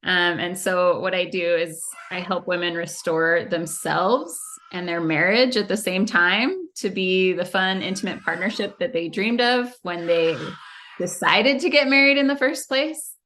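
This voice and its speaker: A woman's voice: lowish voice, a little slow, fairly bright tone, a little hiss or hum. A woman from Central California, a relationship coach guest, talking in a loose, rambling, conversational way over a video call. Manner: rambling, conversational, many fillers. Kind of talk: casual interview chat. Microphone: video call recording.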